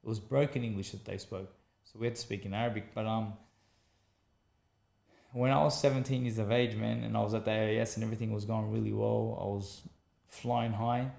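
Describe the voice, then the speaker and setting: An Australian man with a gravelly, mellow voice telling a long personal story as a podcast guest. He talks casually in a flat, even tone, repeats phrases and acts out the people he quotes. The room sounds echoey. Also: lowish voice, a little fast. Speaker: guest, former boxer. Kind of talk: conversational storytelling.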